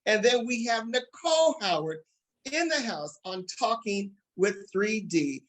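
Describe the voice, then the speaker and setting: An upbeat man hosting a talk show. He speaks with excited emphasis and addresses his listeners directly, at a middling pitch. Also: unhurried.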